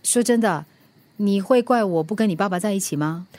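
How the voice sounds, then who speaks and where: A woman's voice: medium pitch, fairly quick, a little mellow, natural voice. A middle-aged woman playing an easygoing, affectionate mother in a radio drama, with a Singaporean accent. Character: easygoing, affectionate mother who works delivering food.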